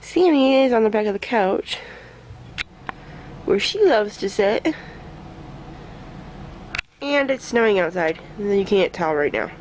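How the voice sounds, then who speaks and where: A woman's voice: medium pitch, sing-song, measured pace, long pauses, a little mellow, slight background noise, low-quality recording. Sick, stuffy-nosed woman vlogging. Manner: chatty, self-mocking, laughs often. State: sick with a stuffy nose, voice coming and going. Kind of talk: casual daily vlog talking to camera. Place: at home indoors.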